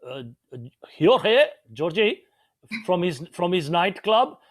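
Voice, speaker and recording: Man, co-host, blunt, teasing, fairly high voice, video call. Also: sing-song, slow pace. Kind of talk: casual online panel chat.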